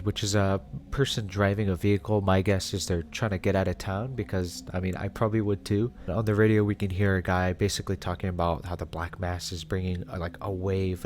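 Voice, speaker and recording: A man with a fairly low voice hosts a commentary in a casual, conversational way. He explains things step by step and slips in wry, offhand remarks. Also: a little mellow. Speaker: host. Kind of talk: casual video analysis commentary.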